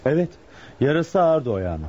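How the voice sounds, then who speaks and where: A man's voice: on the high side, sing-song, moderate pace, a little mellow. A man acting a nervous, scheming insurance clerk in a radio drama, hesitant and muttering. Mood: confessing. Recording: muffled, noisy radio drama recording.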